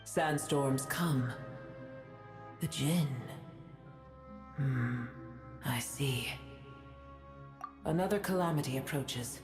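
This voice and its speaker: A woman's voice: extremely low pitch, very slow, pauses often, echoey room, over music, heavily acted. A woman voicing an aloof, stern guardian in an anime game, speaking in a cold, formal, curt way. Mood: grave.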